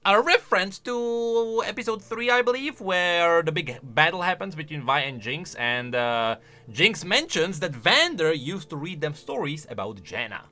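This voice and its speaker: Animated, high-pitched male game commentator. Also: measured pace. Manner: animated, with emphatic bursts and quieter asides. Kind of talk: casual reaction commentary on game voice lines.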